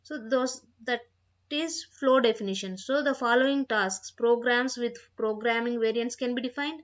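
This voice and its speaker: A woman giving an instructional software tutorial, reading out points and repeating phrases as she explains them, on a dull, lo-fi microphone. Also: a little high, normal speed. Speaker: software trainer.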